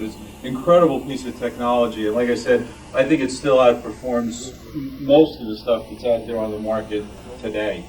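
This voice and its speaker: A man giving an informal show-and-tell talk as a club member, with a halting, rambling delivery. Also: medium pitch, a little mellow, distant mic. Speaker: club member presenting vintage items. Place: club meeting room. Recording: noisy room, picked up from afar.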